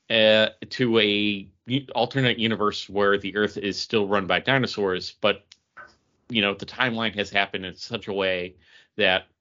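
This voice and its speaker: Bright-voiced, enthusiastic man podcasting. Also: lowish voice, muffled recording. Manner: enthusiastic, rambling. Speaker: podcast co-host. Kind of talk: casual comic book review chat.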